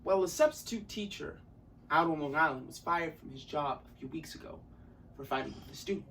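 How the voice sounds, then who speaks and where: A young man acting an intense, articulate character in a theatrical monologue, with emphatic delivery and deliberate pauses. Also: a little high, a bit bright. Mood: grave, storytelling. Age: young adult. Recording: distant mic, audible room noise.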